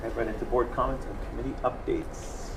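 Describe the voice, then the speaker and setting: A man chairing a school board meeting, brisk and procedural as he moves through the agenda. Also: medium pitch, flat delivery. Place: meeting room. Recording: distant mic, noise.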